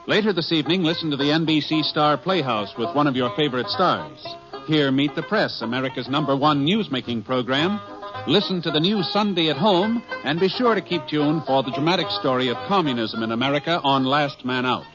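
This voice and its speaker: A man's voice: a little high, a little fast. A man working as a network radio announcer, delivering scripted lines in a formal old-time broadcast style. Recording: dull vintage radio, music behind. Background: music.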